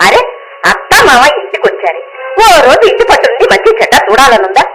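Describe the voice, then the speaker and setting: A woman's voice: on the high side, sing-song, fast, a bit bright, poor audio. An actress playing a complaining middle-aged mother-in-law in a Telugu radio drama, nagging sarcastically with indignant rhetorical questions. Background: music.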